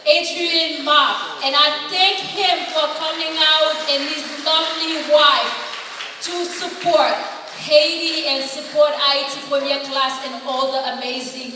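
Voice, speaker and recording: A woman's voice: high voice, steady tone, slow pace. A woman hosting a gala and introducing speakers in an upbeat, gracious way. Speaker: event host. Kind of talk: emcee introductions. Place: large echoey hall at a gala. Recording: echoey hall, far off, faint noise.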